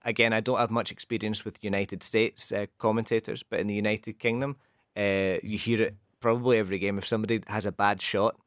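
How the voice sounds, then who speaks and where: A man's voice: lowish voice, level tone, fairly quick, fairly bright tone, dry recording, clean studio sound. A man with a Glasgow accent co-hosting a radio show, patiently explaining English football expressions and slipping into Spanish now and then. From west of Scotland. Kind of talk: bilingual radio chat, explaining football idioms.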